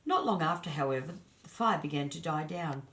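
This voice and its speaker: Low-voiced woman reading a children's story. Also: expressive, a little fast, a bit bright. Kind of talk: scripted children's story narration.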